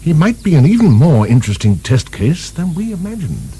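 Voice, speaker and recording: An actor playing an urbane, wry asylum director speaking conversationally in a radio drama. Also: male voice, a little high, sing-song, fairly quick, warm tone. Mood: musing. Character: urbane, genial, musing male asylum director. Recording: 1990 radio broadcast, faint hum.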